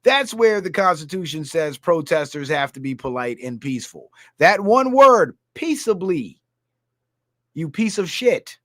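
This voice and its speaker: A man's voice: fairly high pitch, sing-song, a little slow, pushed and bright, no echo, studio quality. A man from Guyana ranting through a political commentary livestream, heated and blunt, reading viewer comments aloud. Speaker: streamer.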